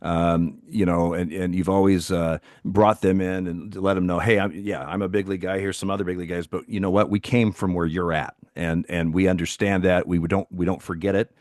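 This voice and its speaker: A man's voice: low voice, level tone, dry recording, clean studio sound. A middle-aged man, a retired umpire, talking in a joking, stammering casual manner. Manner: joking and stammering, quick laughs. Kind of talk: casual chat.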